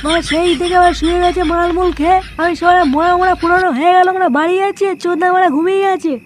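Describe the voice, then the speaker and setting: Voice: very high-pitched, level tone, quick pace, slight background noise. An actor voicing a foul-mouthed, hot-tempered cartoon village slacker, crude and exclamatory, in squabbling comedy dialogue. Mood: irritated.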